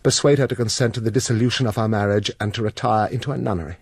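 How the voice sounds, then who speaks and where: An actor playing an imperious, scheming lord of a Gothic castle in a radio drama, commanding and curt. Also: male voice, lowish voice, fairly even intonation, very fast, a little hiss or hum, close to an everyday voice.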